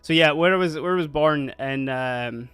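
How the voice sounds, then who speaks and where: A Scottish man chatting loosely on a livestream from a home setup, his voice sitting a touch high. He rambles, laughs easily and keeps talking while he fiddles with other things, with quiet music playing low behind him. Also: moderate pace, clear, resonant tone, no echo. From Scotland (Dundee). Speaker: streamer. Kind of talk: casual livestream chat. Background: faint background music.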